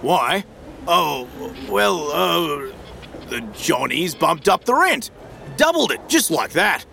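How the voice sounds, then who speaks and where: A matey Australian businessman in an audio drama. His voice is fairly high, bright and lively, tumbling out casual slang with cheeky ease, over faint background noise. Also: takes it slowly, vocal fry, exaggerated voice. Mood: breezy, matey. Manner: breezy, informal, slangy.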